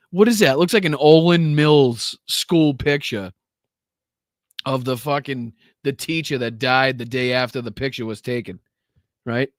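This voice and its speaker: A man's voice: medium pitch, a little slow, long pauses, no echo, studio quality. Profane Boston man mocking on a livestream. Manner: crude, profane, mocking, laughs often. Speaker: livestream host. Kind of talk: live reaction commentary.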